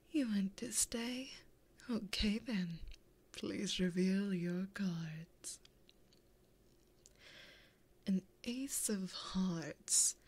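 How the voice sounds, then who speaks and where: A seductive demon woman played by a woman whispers in an ASMR roleplay, right up at a binaural microphone. Her voice is soft and full of breath, and she draws her words out extremely slowly. She is flirty and possessive, taunting the listener with intimate teasing. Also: medium pitch, husky, full character voice. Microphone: close binaural microphone.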